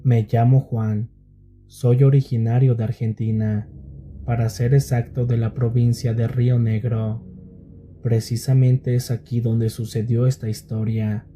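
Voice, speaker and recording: A man reading scripted scary stories as a narrator, in a measured and deliberate storytelling manner. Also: mid-range voice, flat delivery, dark tone, faint music behind.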